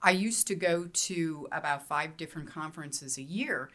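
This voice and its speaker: Low-voiced woman author explaining calmly. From Pennsylvania. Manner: explanatory, measured, with fillers and restarts. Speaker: romance author. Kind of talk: panel interview answers. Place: hotel meeting room, seated at table. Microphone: handheld mic.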